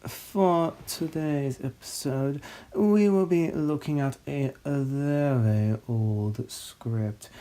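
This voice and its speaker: A man's voice: medium pitch, warm tone. A man hosting in a droning, mock-pompous way, delivering comic scripted narration. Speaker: host, narrator of a web series.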